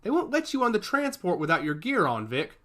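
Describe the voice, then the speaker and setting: Man playing a goading, animated soldier buddy. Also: high-pitched, brisk, voice pushed up, exaggerated voice. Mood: incredulous. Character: confident, goading male soldier comrade.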